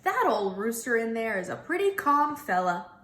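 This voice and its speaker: A woman voicing a kindly, patient male farmer in a children's storybook, explaining things gently in a folksy way. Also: fairly high pitch, big pitch swings, normal speed, roomy sound. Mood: reassuring.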